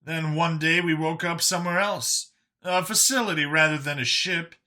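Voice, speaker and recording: A man voicing an earnest older male escaped captive in an audiobook, explaining past hardship in a halting, emphatic way. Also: a little high, fairly even intonation, bright, forceful tone, pitched above the performer's own voice. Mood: grim recounting. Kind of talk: audiobook character dialogue.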